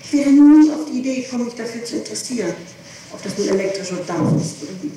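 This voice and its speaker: A woman's voice: fairly high pitch, expressive, fast talker. A woman testifying under questioning as a defendant, hesitant and evasive, often repeating her phrases. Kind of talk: courtroom testimony. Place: large echoing room, distant mic. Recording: echoey, noisy archival tape from far off.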